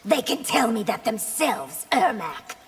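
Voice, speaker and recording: A woman's voice: a little high, big pitch swings, unhurried. A woman playing a stern young empress in a video game, commanding and confrontational. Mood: stern, accusing. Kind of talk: video game character dialogue. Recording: loud music under the voice.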